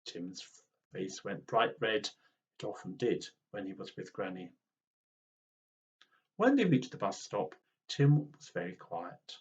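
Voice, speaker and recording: A man reading a children's storybook aloud in an expressive, dramatic way. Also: fairly low pitch, slow pace, pauses often, highs cut off. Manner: animated, dramatic.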